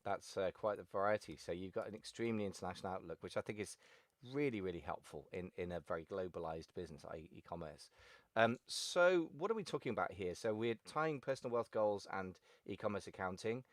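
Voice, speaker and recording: Chatty male podcast host, low dry voice. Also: expressive, a little fast, no room sound. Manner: playful, chatty, jokey openers. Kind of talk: casual podcast interviewing.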